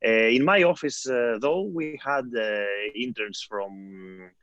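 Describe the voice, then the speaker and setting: A man's voice: medium pitch, takes it slowly, a bit bright, no room sound. A man from Greece, a parliamentary assistant, answering in terse, clipped phrases on a video call. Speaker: assistant to a member of the European Parliament. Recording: dull, dry, lo-fi sound.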